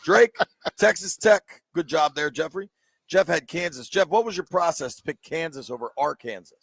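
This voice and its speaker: A man hosts live sports radio from a sports bar, cracking jokes and ribbing his co-host and guests as he runs through picks. His voice sits a little high with a gravelly edge. The sound is dry and close. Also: animated, fairly bright tone, no room sound. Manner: joking, teasing, rapid bracket rundown. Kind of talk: casual sports radio banter.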